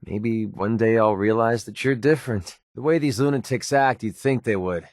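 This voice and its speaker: A man's voice: lowish voice, moderate pace, dry recording, studio quality, natural voice. An actor playing a sardonic young male mercenary in a video game, with an American accent and a casual, grumbling way of talking. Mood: earnest. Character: wry young male mercenary sniper in a post-apocalyptic wasteland. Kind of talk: video game companion dialogue.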